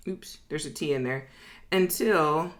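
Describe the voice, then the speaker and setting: A woman with a slightly low voice teaches informally, like a business educator at a board. She is conversational and emphatic, tossing in little check-in tags and voicing imagined quotes as she explains. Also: a little slow. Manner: conversational, emphatic, frequently checks in with "okay?" and "right?".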